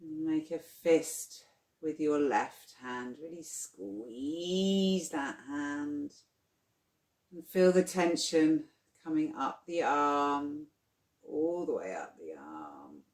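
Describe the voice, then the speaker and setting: A yoga nidra guide leading a guided relaxation in a soothing, unhurried, gently instructing manner. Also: mid-range voice, expressive. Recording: roomy, echoing sound.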